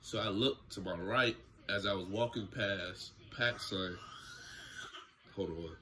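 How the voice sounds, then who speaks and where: A young man talks straight into a close camera in a small room at home, telling a casual story-time tale. His voice sits low, but it has a bright, forceful edge. He keeps it loose and slangy. A faint ambient hum sits behind him. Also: slow. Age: young adult. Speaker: YouTuber. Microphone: camera close in front, seated.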